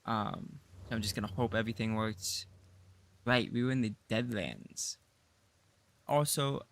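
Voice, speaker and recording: A man with a raspy voice, groggy from just waking up, casually chatting through a live gameplay stream and rambling with self-deprecating humor. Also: medium pitch, a bit bright. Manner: rambling, self-deprecating, with frequent fillers and laughs. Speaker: game streamer.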